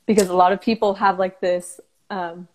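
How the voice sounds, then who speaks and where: A woman's voice: on the low side, level tone, normal speed. A young woman hosting a casual conversation, enthusiastic and chatty as she talks and asks questions. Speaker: host.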